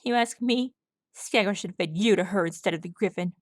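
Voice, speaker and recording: A woman's voice: medium pitch, brisk, dry recording, close to an everyday voice. A woman voicing a bitter older male foal, sullen and sneering. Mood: furious.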